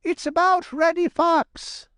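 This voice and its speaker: A man voicing an excitable, round male woodchuck in a storybook, eagerly calling out in a squealing voice. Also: slow, no room sound, clean studio sound, exaggerated voice. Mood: excited. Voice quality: squealing, high-pitched.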